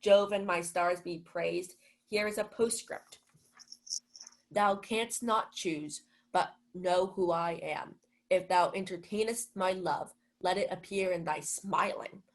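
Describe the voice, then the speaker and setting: A woman's voice: on the low side, steady tone, slow pace, low-quality recording. A young woman acting a pompous, gullible male steward in a Shakespeare comedy radio play, theatrical and self-important. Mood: elated. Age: young adult. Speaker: student actor.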